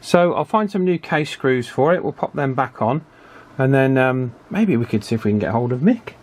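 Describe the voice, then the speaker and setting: A man giving a casual, chatty hands-on repair commentary in an unhurried way, as if thinking aloud. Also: medium pitch, lively intonation, a little mellow. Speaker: radio repair hobbyist presenting his work.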